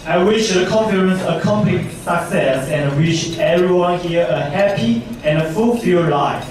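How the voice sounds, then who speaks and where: Male interpreter, fairly high voice, measured delivery, distant mic, heavy hall echo. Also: noisy background. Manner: measured, stumbling restarts. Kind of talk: consecutive interpreting. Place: large conference hall.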